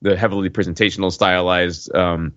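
A man's voice: sits low, brisk, no room sound. A man co-hosting a casual film discussion in a colloquial style full of asides and tag questions. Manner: animated colloquial asides, frequent "like" and "right?". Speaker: podcast co-host. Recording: dull, muffled close microphone.

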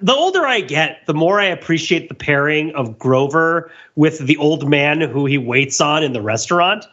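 A man with a bright, slightly high voice talks as a podcast host. He rambles through comic retellings in an animated, casual way, wandering off on tangents. The recording is a little dull and lo-fi. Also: normal speed. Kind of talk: casual comedic pop-culture discussion.

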